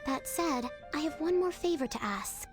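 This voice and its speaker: Young princess in a game, high voice, humble n sweetly earnest, loud music all over it. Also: female voice, animated, moderate pace. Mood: earnest, grateful. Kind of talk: video game voice acting.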